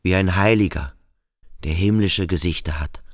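A male actor playing a brooding, introspective schoolboy who questions himself slowly and deliberately in a literary radio drama. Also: low voice, no echo, clean studio sound, lightly acted. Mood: quietly wondering. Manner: slow, deliberate, self-questioning. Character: brooding, introspective schoolboy pondering his own strangeness.

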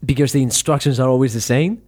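A man hosting a podcast interview, talking in a relaxed way and filling his sentences with "you know". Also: mid-range voice, fast talker. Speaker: podcast host, English teacher. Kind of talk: casual interview chat.

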